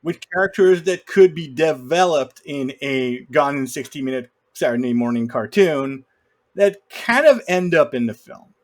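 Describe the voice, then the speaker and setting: A man's voice: a little high, animated, normal speed. A man co-hosting a podcast, casually discussing film and working through his points in an explanatory way. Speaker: podcast co-host.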